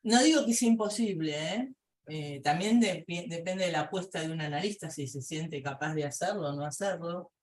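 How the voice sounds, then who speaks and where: A woman's voice: lowish voice, normal speed, a little mellow. A middle-aged woman with an Argentine accent, a psychoanalyst telling clinical anecdotes in a seminar discussion. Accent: Argentine Spanish. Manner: conversational, tells clinical anecdotes.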